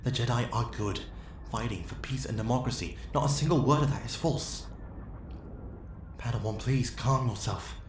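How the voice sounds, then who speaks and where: A man's voice: medium pitch, normal speed, voice pushed up, lightly acted. A man playing an earnest, righteous Jedi master in an ASMR roleplay, pleading with urgency. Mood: alarmed pleading. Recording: echoey with faint background noise.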